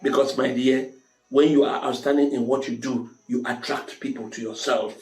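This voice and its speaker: A man's voice: medium pitch, fairly even intonation, moderate pace, darkish tone. Man, Cameroonian accent, motivational host, emphatic and repetitive, avuncular, echoey room, music underneath. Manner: emphatic, repeats key phrases. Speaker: storytelling show host giving advice. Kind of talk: motivational advice talk to camera.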